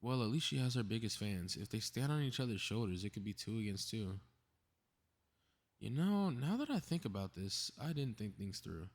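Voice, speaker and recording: Husky, low-voiced cocky superhero, acted by a man. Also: lively intonation, moderate pace. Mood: scheming. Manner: sarcastic, exasperated muttering, sighing. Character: cocky, snarky, meddling invisible male superhero grumbling to himself. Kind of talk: scripted ASMR audio roleplay monologue.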